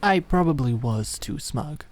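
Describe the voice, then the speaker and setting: A woman's voice: a bass voice, lively intonation, a little slow, a little mellow, slight background noise. A woman playing a cocky, adoring young British businesswoman in a scripted audio roleplay, smug and teasing. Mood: smug, confident. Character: Alex, cocky, adoring young queer businesswoman celebrating with fiancée.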